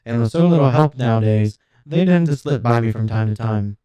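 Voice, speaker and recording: A man voicing a courteous, lonely old robot butler and museum guide in an audiobook story, with smooth, formal, apologetic politeness. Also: mid-range voice, expressive, a little fast, dark tone, dry recording, natural voice, rough recording. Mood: politely apologetic.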